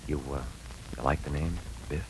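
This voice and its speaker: A man's voice: a bass voice, fairly even intonation, moderate pace, creaky. An actor playing an earnest, folksy young husband and father in a 1940s radio drama. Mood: proud, hushed. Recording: slightly noisy 1940s radio recording.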